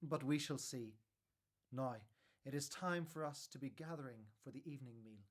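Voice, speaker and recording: A man playing a wise, welcoming religious elder in a radio drama, measured and gently authoritative. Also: mid-range voice, close to an everyday voice. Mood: kindly, solemn.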